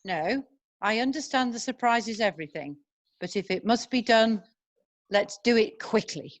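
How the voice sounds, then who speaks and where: A gentle young cavalry captain read by a woman in an amateur drama. The delivery is earnest, in a voice a little on the high side. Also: normal speed, close to an everyday voice. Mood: uneasy. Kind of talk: amateur screenplay read-through.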